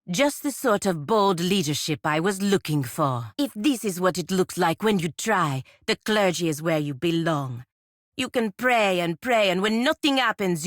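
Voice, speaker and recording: A proud, godlike warrior woman for a fantasy video game. Her voice sits low. She is blunt, impatient and cuttingly sardonic, recorded dry with no room sound. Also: no room sound. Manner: disdainful, sardonic, clipped and cutting. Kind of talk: video game character dialogue.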